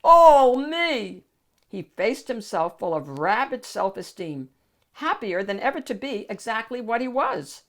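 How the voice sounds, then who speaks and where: A woman's voice: mid-range voice, expressive, moderate pace, fairly bright tone. A woman reading a picture book aloud expressively, as the host of a storytime. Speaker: storybook reader and channel host.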